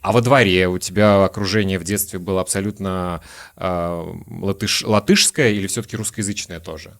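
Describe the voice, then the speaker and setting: Low-voiced Lithuanian man hosting an interview. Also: fairly even intonation, fairly quick. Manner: asks long, searching questions and shares his own stories. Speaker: podcast host. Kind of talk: relaxed conversational interview.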